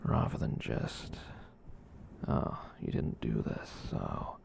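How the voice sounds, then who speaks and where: A performer voicing a gentle, kind giant catboy in a soft breathy whisper, rambling reassuringly in an ASMR roleplay. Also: slight background noise, close to an everyday voice. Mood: soothing, confiding. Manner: rambling, reassuring, slow with long pauses.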